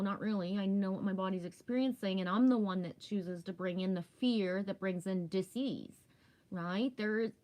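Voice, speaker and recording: Woman around 50 doing a casual spiritual livestream, rambly and emphatic, keeps checking in with listeners. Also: mid-range voice. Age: about 50. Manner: candid, swears casually. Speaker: livestream host.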